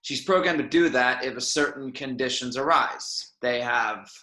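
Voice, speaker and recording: A starship captain briefing the crew, read by a man at a table read. His voice is bright and forceful at a middle pitch, recorded in a clearly echoey room. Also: normal speed, natural voice. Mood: matter-of-fact. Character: male captain of a commercial spaceship briefing crew. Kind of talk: table read of a film script.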